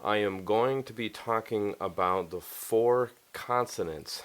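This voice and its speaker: A man teaching English pronunciation in an instructional style, explaining things deliberately and step by step. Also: on the low side, a bit bright. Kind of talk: instructional lesson to camera.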